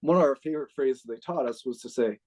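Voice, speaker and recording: An American man, a retired army officer, telling stories as an interview guest in a chatty, laid-back way, full of "like" and quick laughs. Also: mid-range voice, a little mellow. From United States. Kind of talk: casual storytelling.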